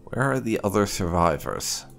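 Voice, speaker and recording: A man giving casual, expressive, conversational video game commentary with some light roleplay. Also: fairly low pitch, moderate pace, gravelly. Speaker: gaming channel host. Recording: faint game music behind.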